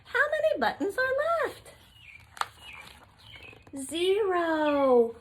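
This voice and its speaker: A middle-aged woman with a fairly high, very animated voice reads a children's story. She takes her time, stretching questions and exclamations in a singsong storytime style. It is recorded outdoors with faint noise behind her. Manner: expressive, drawn-out questions and exclamations. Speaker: teacher reading a picture book to young children. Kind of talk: animated children's read-aloud with singsong refrains. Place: outdoor covered patio.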